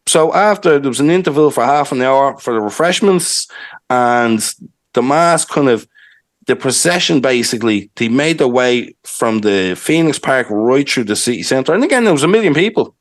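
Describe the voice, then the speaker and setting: A man's voice: medium pitch, lively intonation, normal speed. A young man with a Dublin accent, chatting casually as a podcast co-host and rambling with lots of fillers. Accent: Irish, Dublin. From Ireland. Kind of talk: casual history chat.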